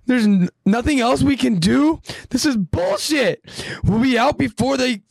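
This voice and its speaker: A man's voice: a little high, very animated, measured pace, vocal fry, dry recording, voice pushed up, exaggerated voice, poor audio. A man voicing a hot-headed young male soldier who whines in a raised, exasperated voice. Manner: whiny, exasperated and loud.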